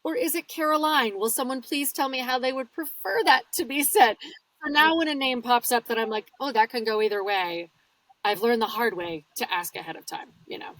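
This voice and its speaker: A woman's voice: on the high side, brisk, fairly bright tone. Woman narrator chatting in an interview, explains stuff, laughs a lot, kinda far from the mic. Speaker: audiobook narrator, guest. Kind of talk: casual interview talk. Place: home studio.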